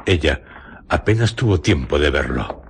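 Very deep-voiced man narrating radio drama. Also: animated, a little hiss or hum. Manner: measured, phrase-by-phrase delivery.

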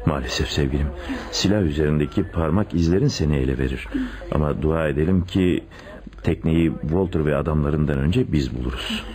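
An actor playing a calculating police chief with a secret lover, deliberate and probing, in a radio drama. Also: male voice, a bass voice. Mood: conspiratorial. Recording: radio drama with audible background noise.

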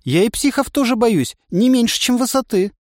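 A man voicing a timid but stubborn young woman who talks in a squeaky, plaintive way. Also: very animated, a little fast, a little mellow, no echo, clean studio sound. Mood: indignant. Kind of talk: audiobook character voice.

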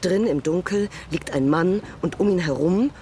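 A talkative male witness in a radio drama explains himself at length in a conversational way. His voice sits fairly high, with clear ambient noise around it. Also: moderate pace, darkish tone. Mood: explanatory. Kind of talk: German audio drama dialogue.

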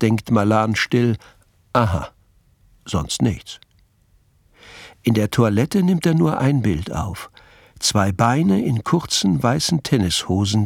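A man narrating a literary radio play as its storyteller, with a gravelly, husky voice. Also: on the low side, no echo, studio quality, full character voice.

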